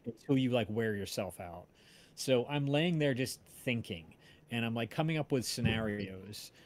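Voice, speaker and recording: A man's voice: mid-range voice, animated. Rambling man telling stories casually. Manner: rambling, halting, self-interrupting. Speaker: podcast creator and voice-drama maker. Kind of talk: casual storytelling on a livestream.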